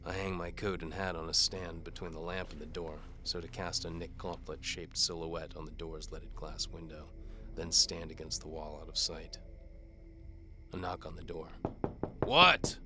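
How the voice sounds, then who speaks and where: A man playing a cynical, world-weary knight-for-hire detective, narrating a hardboiled noir audio drama in a deadpan, wisecracking way. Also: deep voice, normal speed, music in the background, lightly acted. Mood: irritated shout.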